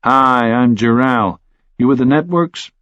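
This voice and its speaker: A man's voice: medium pitch, measured pace, fairly bright tone, no room sound, natural voice. Man voicing easygoing, drawling American journalist, slightly muffled. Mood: frank, eager. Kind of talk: audiobook character dialogue.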